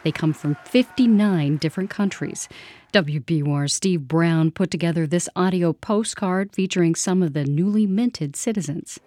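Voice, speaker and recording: A woman hosting a morning radio news program, reading scripted news in a measured, even way. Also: lowish voice, no room sound, clean studio sound.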